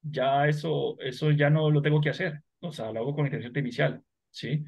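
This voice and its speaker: A man's voice: on the high side, fairly even intonation, fairly quick, creaky, breathy edge, highs cut off. A man on a video call explaining his work and often checking whether he is understood. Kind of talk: casual video call discussion.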